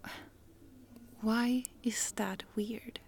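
A woman's voice: a little high, steady tone, very slow, gravelly, slight background noise, heavily acted. A woman whispering in a Swedish accent as a chatty young Swedish traveler, friendly and frank, in a close-mic roleplay. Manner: soft whisper with small laughs.

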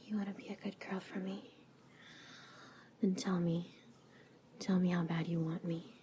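A woman playing a coaxing, indulgent teacher in an intimate roleplay, speaking in a soft, breathy whisper and murmuring slowly. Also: lowish voice, little pitch movement, a little hiss or hum, close to an everyday voice. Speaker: audio roleplay performer.

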